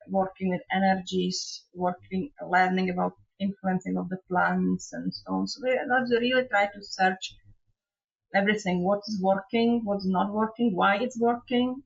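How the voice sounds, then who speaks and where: A woman from Czechoslovakia, a trauma therapist telling her story in a measured way as an interview guest, speaking over a remote call from her home. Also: medium pitch, gravelly, breathy edge, lo-fi sound. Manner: non-native phrasing, measured storytelling. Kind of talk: interview conversation.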